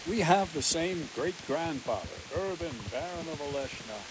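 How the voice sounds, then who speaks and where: An actor playing a seasoned, wry guard captain in a medieval video game, speaking bluntly and with measured weight. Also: male voice, on the high side, lively intonation, background noise, lightly acted. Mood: wry, grave.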